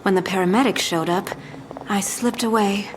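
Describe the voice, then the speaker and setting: A woman's voice: medium pitch, moderate pace, slight background noise, close to an everyday voice, rough recording. A woman playing a smug, theatrical crew leader of thieves in an audio drama, teasing and self-assured. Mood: wry, reminiscing. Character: suave, playful female master thief, crew leader.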